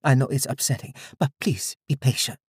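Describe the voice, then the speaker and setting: A man's voice: mid-range voice, moderate pace, slightly rough, dry recording, clean studio sound, pitched above the performer's own voice. A man voicing a composed, guarded woman who speaks soothingly and firmly in an audiobook. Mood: calm, reassuring. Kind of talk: audiobook character dialogue.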